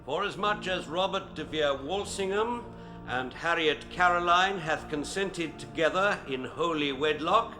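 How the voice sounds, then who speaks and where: A man's voice: fairly high pitch, lightly acted. An actor playing a solemn clergyman, speaking ceremonially in a radio drama. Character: solemn English clergyman conducting a wedding.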